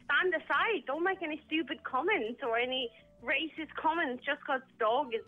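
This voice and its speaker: A woman's voice: high voice, moderate pace, soft background music. A woman from Iran who grew up in Vienna, calling in to a radio phone show and talking frankly with lots of fillers. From Iran, raised in Vienna, Austria. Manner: chatty, frank, uses fillers. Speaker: caller. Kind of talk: phone-in call. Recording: tinny phone line.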